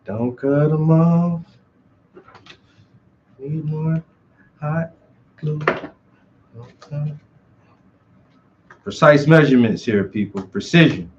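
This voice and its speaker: A man's voice: a little high, extremely slow, pauses often, lo-fi sound. A man talking casually to himself while he works on a craft project, muttering, humming and breaking into song. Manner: mutters, hums and sings along while working. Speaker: artist and channel host.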